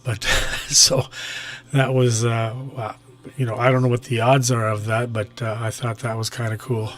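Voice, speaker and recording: Man rambling casually, fairly flat pitch. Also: moderate pace. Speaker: amateur radio operator. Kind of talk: casual chat over ham radio.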